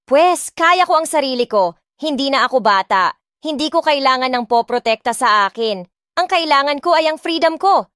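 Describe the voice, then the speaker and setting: A woman's voice: high voice, expressive, moderate pace, a bit bright, dry recording, clean studio sound. A woman in her twenties voicing a spoiled, defiant rich young woman in an audio drama, snapping back with bratty sharpness and mixing English into her speech. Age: 20s. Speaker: voice actor and narrator.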